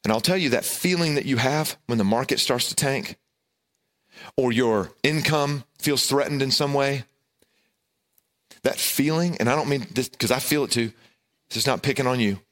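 A middle-aged man with a loud voice, preaching a sermon and telling a self-deprecating, humorous personal story. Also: medium pitch, normal speed, pauses often. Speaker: pastor.

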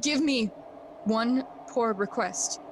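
A woman playing a grieving, feverish young prince in a Shakespearean audio drama, theatrical and deliberate. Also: a little high, noisy background. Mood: urgent, secretive.

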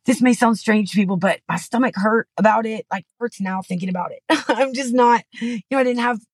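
A woman's voice: on the high side, a little fast, husky, dry recording. Middle-aged woman, podcast guest, candid rambling storyteller, dry close recording. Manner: candid, rambling, frequent "you know" and restarts. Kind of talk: personal story in conversation. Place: Texas.

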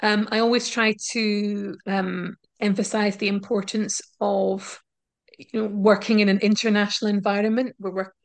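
A woman's voice: medium pitch, flat delivery, normal speed, vocal fry. Woman professor explaining research findings. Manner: thoughtful, explanatory, with frequent ums and restarts. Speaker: linguistics professor, guest. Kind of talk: academic interview answers. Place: university in Scotland.

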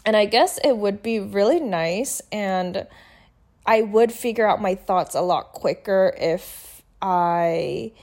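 A woman's voice: mid-range voice, takes it slowly, a little mellow. A young woman, a native Korean speaker, talking candidly on her own podcast in a loose, filler-heavy way. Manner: casual, candid, many fillers and "like". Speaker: podcast host. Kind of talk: solo reflective podcast monologue.

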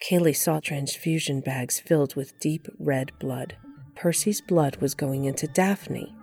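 A woman's voice: sits low, unhurried, dark tone, soft background music. A woman reading scripted narration for an audio drama.

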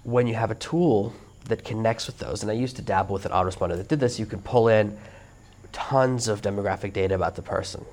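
A man hosting a solo podcast whose voice is hoarse and worn out. He explains things in a steady, earnest way. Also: on the low side, fast talker. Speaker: podcast host. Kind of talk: solo instructional monologue.

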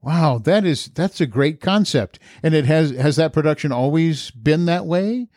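A man's voice: a little high, animated, a little slow, a little mellow, no echo, clean studio sound. A man hosting a podcast, asking questions in a casual, conversational interview style.

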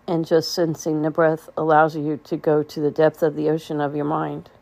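A woman leading a guided meditation in a gentle, soothing way, with unhurried phrasing and pauses. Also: sits low, completely flat delivery, creaky, no echo.

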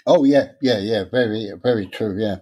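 A man, talking candidly in an interview, halting and repeating words as he speaks. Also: fairly low pitch, darkish tone. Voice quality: stammer. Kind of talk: personal interview.

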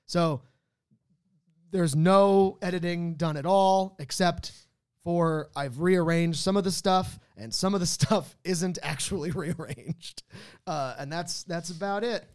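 A man with a fairly high voice hosts a podcast in casual banter. He rambles and jokes his way through thoughts, swearing a little. The sound is dry, with very little room. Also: slow, darkish tone, clear, resonant tone, no echo. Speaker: podcast host. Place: recorded at a theater company's space.

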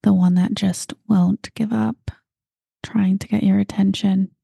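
Slow soft-spoken woman guiding meditation. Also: medium pitch, no room sound, studio quality. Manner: slow, soft and calm, drifting into breathy whispers. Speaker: meditation guide. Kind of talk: guided meditation.

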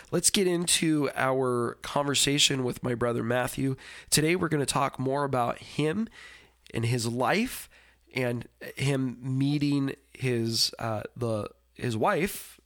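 A man's voice: medium pitch, fairly bright tone, no echo, studio quality. A man with a stuffy, congested voice, hosting his own podcast in a rambling, apologetic way with plenty of fillers. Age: adult with a daughter. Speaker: podcast host. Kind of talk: casual solo podcast talk.

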